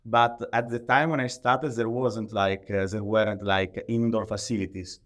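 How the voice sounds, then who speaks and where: A man with an Italian accent answering interview questions conversationally in halting, non-native English, often leaning on the word "like". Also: fairly low pitch, fairly even intonation. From Italy. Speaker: podcast guest, professional athlete.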